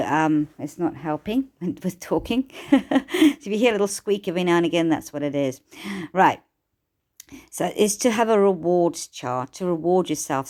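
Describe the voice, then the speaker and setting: A woman talks through her self-help advice in a relaxed, encouraging way. Her voice sits low and sounds a little hoarse from a cold. She often restarts her sentences as she chats along. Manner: chatty, encouraging, repeats and restarts. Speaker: life coach. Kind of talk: casual self-help talk to camera.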